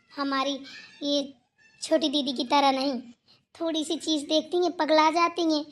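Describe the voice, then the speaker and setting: A woman plays a hardworking, outspoken younger daughter-in-law in a village family cartoon. Her voice sits extremely high with a crisp, bright sharpness. She answers back teasingly and likes to moralize. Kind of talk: acted dialogue in Hindi family cartoon.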